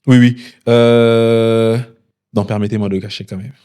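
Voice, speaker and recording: Hesitant young man, dark voice. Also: medium pitch, flat delivery, clear, resonant tone. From DR Congo. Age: 20s. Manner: measured, with many hesitations. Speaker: founder and CEO of a delivery startup. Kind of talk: interview answers.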